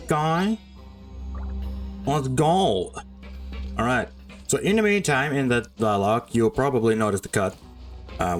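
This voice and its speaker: Guy streaming a game, thinking out loud, takes his time, soft music in back. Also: mid-range voice. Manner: rambling, thinking aloud. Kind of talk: casual gameplay commentary. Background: faint game music.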